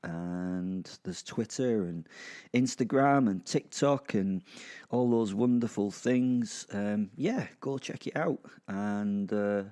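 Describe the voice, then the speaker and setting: A man's voice: fairly low pitch, slow, mellow. A man hosting a podcast, giving a casual introduction in an offhand, rambling way. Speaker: podcast host.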